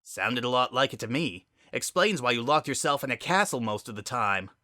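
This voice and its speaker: A man plays an angry pony big sister in a storybook drama. The voice is hard-edged and lifted in pitch, full of sarcasm and confrontation. It sounds close and dry. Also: lively intonation, moderate pace, bright, forceful tone, no echo. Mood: bitter. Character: angry, sarcastic female pegasus pony, bitter older sister.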